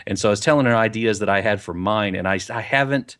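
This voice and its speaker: A man's voice: lowish voice, fast, fairly bright tone. A man co-hosting a gaming podcast in casual, rambling chat, talking into a desk microphone with a pop filter. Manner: rambling, chatty and jokey. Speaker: podcast co-host. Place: home recording setup on camera.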